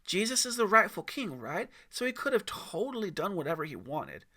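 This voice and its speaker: A man's voice: high-pitched, very animated, a little fast, pushed and bright, voice pushed up, exaggerated voice. A man voicing an earnest, inquisitive young boy in an audiobook story, questioning insistently. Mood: insistent, puzzled.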